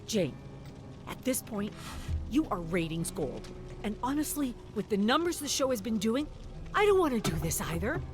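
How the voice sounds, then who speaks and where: A woman's voice: on the high side, sing-song, normal speed, pitched above the performer's own voice. A woman voicing an anxious male TV executive who pleads and persuades, in a dramatic game story reading. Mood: pleading. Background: music.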